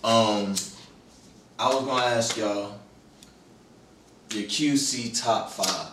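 A soft-spoken man from Charlotte interviewing two guests in a relaxed way. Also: lowish voice, slow pace, roomy sound, noisy background. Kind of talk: casual interview.

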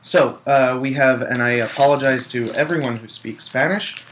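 A man's voice: medium pitch, normal speed, a bit bright, a little hiss or hum. Rambling young man co-hosting a chat. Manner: rambling, self-deprecating, laughs often. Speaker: podcast co-host. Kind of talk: casual chat.

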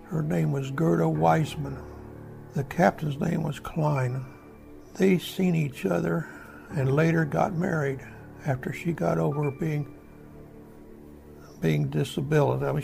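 Actor as a very gravelly old American war veteran. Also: male voice, a little high, a little mellow. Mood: fond, reflective. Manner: halting, plainspoken, folksy reminiscing. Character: old American WW2 veteran, remorseful, haunted by killing. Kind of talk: radio drama monologue, recounting war memories. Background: music behind the voice.

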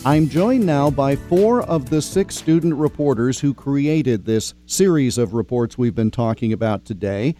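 A man hosting a public radio interview program, speaking in a measured, conversational way. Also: medium pitch, expressive, dark tone, faint music behind. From Iowa.

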